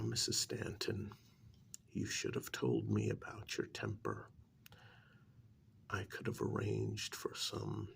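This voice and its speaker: A man playing a calm, shady doctor in an ASMR role-play, speaking softly and deliberately, often near a whisper, with light reproach. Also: lowish voice, slight background noise, exaggerated voice. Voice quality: soft, breathy, often dropping to a whisper. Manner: slow, deliberate, lightly admonishing, with drawn-out pauses. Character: calm, shady male doctor who transplants wolf eyes.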